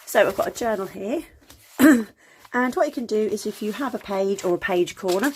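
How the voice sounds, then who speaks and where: A woman with a slightly croaky voice, chatting casually through a craft tutorial with lots of ums and self-corrections. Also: medium pitch, normal speed, a little mellow, a little hiss or hum. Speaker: crafting channel host.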